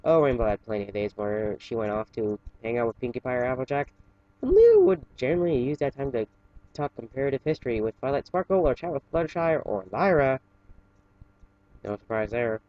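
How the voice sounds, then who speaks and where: A man casually reading fan fiction aloud in a halting way, stumbling and correcting himself, with chatty asides. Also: mid-range voice, big pitch swings, no room sound, highs cut off.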